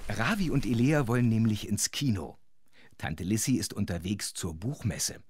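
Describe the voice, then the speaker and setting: An actor as a chatty, wry storyteller narrating a children's audio drama. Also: male voice, lowish voice, expressive, moderate pace, darkish tone, slight background noise, natural voice. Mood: wry, explaining.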